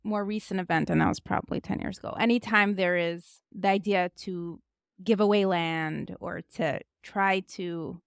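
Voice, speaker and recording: A woman's voice: on the low side, fairly even intonation, moderate pace, vocal fry, smooth, dry recording, clean studio sound. A woman hosting a conversational interview, asking questions tentatively and searching for her words. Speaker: podcast host, interviewer.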